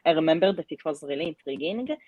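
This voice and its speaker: A woman with a low, husky voice chats casually on a livestream. She is effusive and enthusiastic, and the sound is close and dry. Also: animated, rapid-fire, no room sound, phone-quality sound. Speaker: livestream participant. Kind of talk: casual chat.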